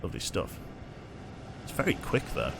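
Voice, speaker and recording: A British man streaming a train game, chatting casually and getting easily excited, swearing now and then. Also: moderate pace. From United Kingdom. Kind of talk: casual gameplay commentary. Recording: loud train rumble behind voice. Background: train simulator engine and rail noise.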